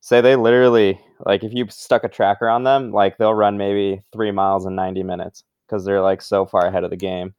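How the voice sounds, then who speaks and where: A man's voice: on the low side, brisk, few pauses, clean tone, no echo, studio quality. A young man from Brazil, a guest in a casual interview, talking loosely and filling his speech with "like" and "um". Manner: relaxed, loose, leans heavily on "like" and "um".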